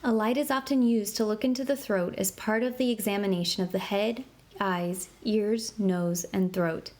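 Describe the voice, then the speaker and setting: A woman narrating an interactive children's book in a clear, explanatory way, slowing down to spell out medical terms. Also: mid-range voice, fairly even intonation, a little mellow. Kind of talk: scripted read-aloud narration for kids. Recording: clear background noise.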